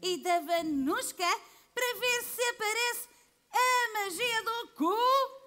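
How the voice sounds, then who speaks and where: A woman's voice: very high voice, slow, pushed and bright. A woman children's entertainer on an outdoor stage, talking in an exaggerated, sing-song way. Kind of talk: playful stage show for kids.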